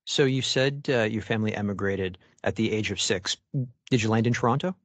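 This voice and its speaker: A man hosting a media podcast, reading a scripted introduction and asking interview questions in a measured, clear voice. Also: fairly low pitch, dry recording. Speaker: podcast host.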